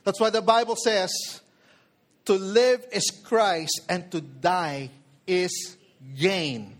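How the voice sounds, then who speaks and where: High-pitched Filipino man preaching emphatically. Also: slow. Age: 40s. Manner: code-switches between English and Tagalog; emphatic, with rhetorical questions to listeners. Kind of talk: sermon.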